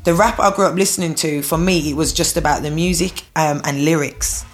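A woman's voice: sits low, fairly even intonation, fairly quick, faint music behind. A woman who raps, answering interview questions in a frank, defiant, casual way. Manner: frank, defiant, laughs easily. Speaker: rapper being interviewed.

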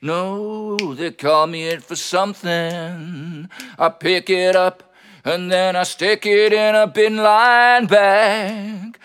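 A man's voice: sits high, steady tone, takes it slowly, fairly bright tone, smooth. A man performing comic spoken-word poetry in a playful, whimsical way, with rhythmic, rhyming delivery. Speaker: poet and comedian. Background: plucked guitar music.

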